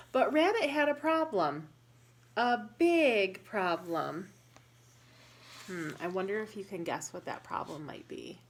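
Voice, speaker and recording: A woman reading a picture book aloud to young children, pausing to explain and ask questions in a deliberate, instructive way. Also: mid-range voice, a little hiss or hum.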